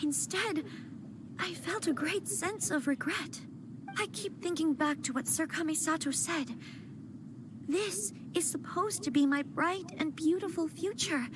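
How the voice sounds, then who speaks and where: An actress voicing a gentle young noblewoman in a video game, soft-spoken, earnest and hesitant, with game music behind her. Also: very high voice, a little slow, noisy background. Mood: regretful. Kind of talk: game voice acting.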